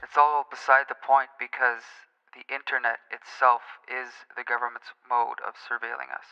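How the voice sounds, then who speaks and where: A man playing an earnest, pedantic conspiracy-theorist caller in a radio play, speaking formally and deliberately, in a muffled, reverberant recording. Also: medium pitch, level tone, smooth, natural voice. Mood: grave, insistent. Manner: deliberate, formal, precise, solemn.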